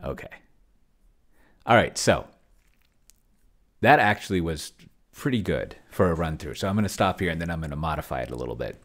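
A man's voice: a bass voice, lively intonation, a little fast, long pauses. A middle-aged man giving casual livestream commentary, rambling and thinking aloud as he types. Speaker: solo role-playing streamer. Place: small cluttered home room, indoors.

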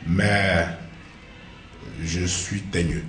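A man's voice: sits low, level tone, drawn-out words, fairly bright tone. A man in his forties with an Ivorian accent, answering as an interview guest, hesitant and repeating phrases for emphasis. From Ivory Coast. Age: 40s. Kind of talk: studio interview conversation. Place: small indoor TV studio. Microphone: shared desk microphone. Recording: roomy, echoey studio sound.

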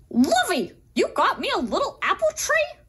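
Bright, high-pitched little bear cub. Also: female voice, wildly swooping pitch, unhurried, full character voice. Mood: thrilled. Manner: childlike, earnest, exclaiming. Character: sweet, excitable young bear cub puppet. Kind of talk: children's puppet show acting.

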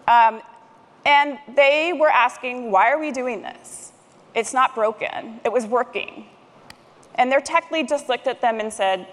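Higher-voiced woman presenting in a hall. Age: middle-aged. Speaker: conference speaker, technology manager. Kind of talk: prepared conference presentation. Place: large conference stage, indoors. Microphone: headset microphone. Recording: long hall reverb.

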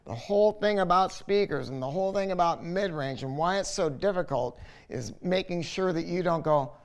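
A man's voice: high voice, moderate pace. An older man presenting an informal product review to camera, conversational and opinionated, leaning on repeated words for emphasis. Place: listening room at home.

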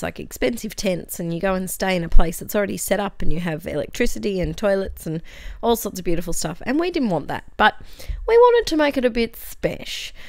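A young Australian woman with a hoarse, croaky voice, chatting casually over her scrapbooking video with lots of fillers. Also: on the low side, no room sound. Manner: chatty, friendly, tangents and fillers. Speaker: scrapbooking channel host. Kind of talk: voice-over for a craft process video. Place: home craft desk.

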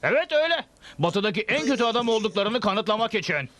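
High, sharp-voiced flustered townsman. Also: fast, slight background noise. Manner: excitable, earnest. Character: flustered, indignant stagecoach holdup victim. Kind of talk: Turkish cartoon dub acting. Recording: faint music behind the voice.

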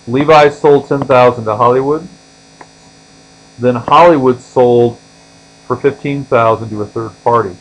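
Male instructor over a steady electrical hum. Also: mid-range voice, low-quality recording. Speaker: accounting instructor. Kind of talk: explanatory tutorial lecture, walking through on-screen examples.

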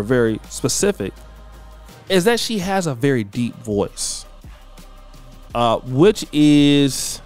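Guy ranting on his commentary channel, preachy and blunt, faint music under it. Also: a little mellow. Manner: preachy, blunt, rising in pitch when worked up. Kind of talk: opinionated commentary monologue.

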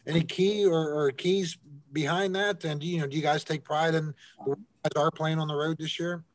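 A man working as a sports reporter, asking press conference questions in a halting, hesitant way. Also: fairly high pitch, fairly even intonation, no echo. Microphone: remote call.

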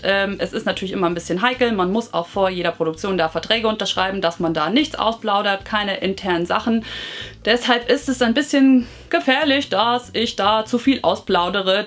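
A woman of thirty from Hesse with a slight Hessian German accent, chatting casually and informally to camera as she answers viewer questions. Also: mid-range voice. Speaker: beauty YouTuber.

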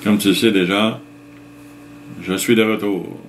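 A man's voice: lowish voice, a little slow, a little hiss or hum. Québécois man chatting casually to his viewers. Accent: Québécois French. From Quebec, Canada. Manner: relaxed, chuckling, explains step by step. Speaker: vlogger answering viewer comments. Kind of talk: casual chat reading out comments.

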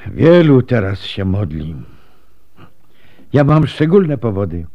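A man's voice: mid-range voice, sing-song, takes it slowly, highs cut off. An actor playing a talkative, pious, good-natured milkman in a folksy, chatty way in a Polish radio drama. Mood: chatty, cheerful.

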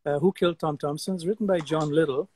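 A man's voice: fairly high pitch, steady tone, fast talker, a little mellow, breathy edge. A man in his sixties from Guyana, a guest artist chatting animatedly on a video call, often repeating his words. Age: 60s. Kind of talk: casual video-call conversation. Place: outdoor deck among trees. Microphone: video call webcam.

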